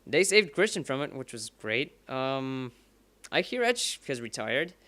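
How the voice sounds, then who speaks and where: A man's voice: mid-range voice, big pitch swings, a little slow, bright, forceful tone. A young man from Bulgaria, rambling and cursing casually through off-topic commentary while playing a racing game. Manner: rambling and profane, curses at the game. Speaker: let's play commentator.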